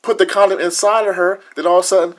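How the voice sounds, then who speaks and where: Higher-pitched American man, very colloquial. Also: steady tone, fast. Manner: colloquial, seeks agreement. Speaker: podcast co-host. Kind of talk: casual opinionated panel talk.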